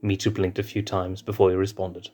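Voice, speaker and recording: A man narrating a fan-written story in a steady, even read-aloud voice. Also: low voice, brisk, faint music behind. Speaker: fanfiction reader.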